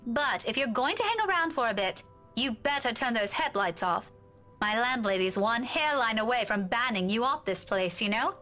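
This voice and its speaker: An actress with a British accent playing a feisty, caring young woman in a visual novel, direct and expressive. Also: fairly high pitch, normal speed, soft background music. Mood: teasing. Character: feisty, caring young woman teasing her old friend.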